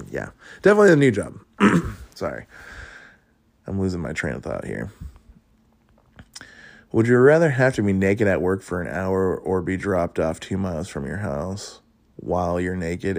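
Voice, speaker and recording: A man's voice: deep voice, sing-song, normal speed, creaky, long pauses, dry recording. A man hosting a podcast, chatting casually through would-you-rather questions, rambling and swearing with frequent laughs. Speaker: podcast host.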